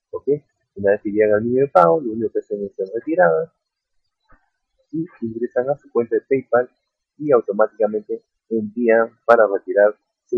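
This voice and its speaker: A man's voice: lowish voice, slightly rough, dry recording. A man walking viewers through a website in a screen-recorded tutorial, often checking in with "ok?" and calling them friends. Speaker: online earning vlogger. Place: at a computer, voice-over on screen capture. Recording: dry tinny phone-like sound.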